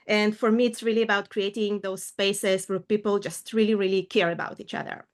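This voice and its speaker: A woman's voice: mid-range voice, fairly even intonation, brisk. Middle-aged woman coach on a video call, earnest, thinks aloud, lots of ums. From Ukraine, raised in Israel. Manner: warm, earnest, thoughtful with fillers. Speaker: coach and guest. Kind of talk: casual interview over video call.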